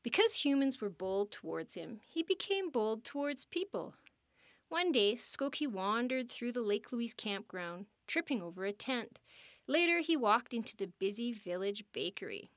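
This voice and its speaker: A woman reading a scripted educational story as a park interpreter, with expressive, deliberate phrasing suited to families. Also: a little high, dry recording, studio quality.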